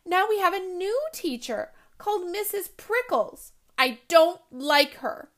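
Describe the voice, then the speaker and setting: A woman's voice: very high voice, animated, slow pace, fairly bright tone, voice pushed up, exaggerated voice. A woman voicing an eager, sensitive little schoolgirl in a storybook reading, with childlike emphasis. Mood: sulky.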